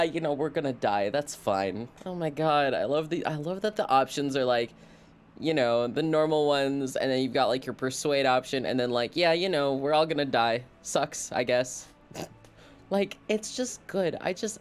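A man streaming a video game with casual, rambling commentary, joking playfully and reading dialogue options aloud. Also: medium pitch. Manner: playful, rambling, quick to joke.

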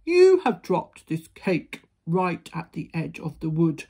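Storybook giant, male played by a woman: enormous, booming, lonely, gentle-hearted, slightly husky. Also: sing-song, takes it slowly, full character voice. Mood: booming, relieved. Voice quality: big, booming. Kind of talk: children's storybook character voice.

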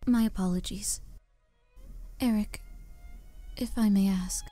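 A woman acting a timid young opera singer in an audio drama. Her mellow voice is polite and hesitant, recorded close and dry with faint music behind. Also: medium pitch, fairly even intonation, takes it slowly, no echo, close to an everyday voice. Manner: hesitant, deferential, stammering.

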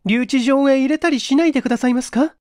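A woman's voice: high voice, quick pace, a little mellow, dry recording, studio quality, voice pushed up, lightly acted. A woman voicing an anxious young female suspect in a radio-style story, pleading with polite, hesitant, old-fashioned feminine phrasing.

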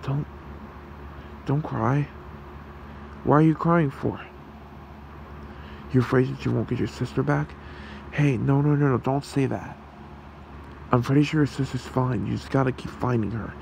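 A man voicing a gentle, protective fox creature in an ASMR roleplay, with a soothing, halting, gravelly delivery. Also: medium pitch, slight background noise. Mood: comforting. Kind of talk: ASMR roleplay, soft comforting talk.

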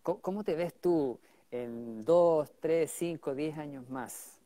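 A man's voice: fairly high pitch, slow pace, dark tone, dry recording. A man in his forties hosting a live video-call interview, supportive, with hesitant fillers between questions. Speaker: interview host. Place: indoor office room. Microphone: wired earbuds mic on a video call.

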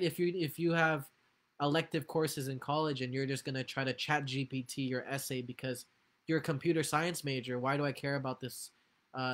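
A man's voice: medium pitch, fairly bright tone. A young man, a guest on a video call from home, speaking casually with filler words. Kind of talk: casual chat. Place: home room.

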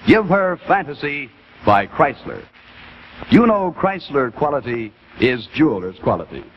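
A middle-aged American man giving a polished, deliberate scripted sales pitch as a 1950s television announcer. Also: big pitch swings. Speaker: commercial announcer. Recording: muffled 1950s television broadcast with faint noise.

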